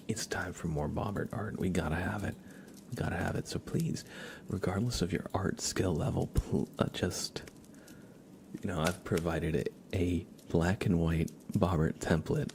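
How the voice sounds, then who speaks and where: Low, creaky, soft-spoken ASMR man. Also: background noise. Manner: soft-spoken, often dropping into whispers. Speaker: livestream host. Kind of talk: ASMR livestream chat.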